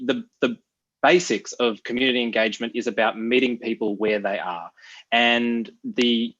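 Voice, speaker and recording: A man, a council candidate answering with halting speech and many restarts. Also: medium pitch, fairly bright tone. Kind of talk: unscripted town hall answer. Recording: muffled, dull sound.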